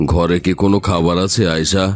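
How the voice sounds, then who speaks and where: A man's voice: sits low, flat delivery, normal speed, faint music behind, lightly acted. A man voicing a poor, hot-tempered husband in a cartoon, grumbling and plaintive, fretting and pleading. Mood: weary, irritable. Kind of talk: cartoon voice-over dialogue.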